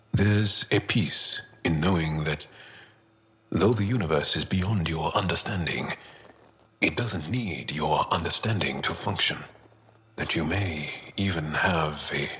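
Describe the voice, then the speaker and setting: A man playing a thoughtful, hopeful alien in a video game, speaking in a measured, reflective way with deliberate pauses. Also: low voice, fairly bright tone. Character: calm, awestruck male alien philosopher, thoughtful and hopeful. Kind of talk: video game dialogue acting. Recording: music under the voice.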